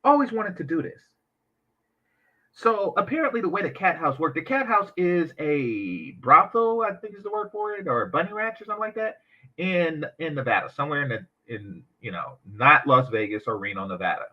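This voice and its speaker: A man with a high, very lively voice runs a reaction commentary full of sarcastic, mocking asides. His pitch swings up and down as he rants. The audio is dull and lo-fi. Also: a little fast. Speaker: commentary channel host.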